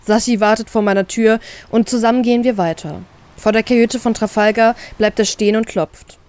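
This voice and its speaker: A woman's voice: fairly high pitch, fairly even intonation, fairly quick, darkish tone. Woman narrating a story. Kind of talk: expressive first-person story narration.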